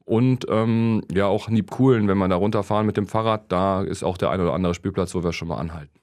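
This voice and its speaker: Relaxed man chatting with fillers. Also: sits low, fast talker. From Krefeld, Germany. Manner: relaxed, plain, hesitant with fillers. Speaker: co-host. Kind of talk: casual chat, question round.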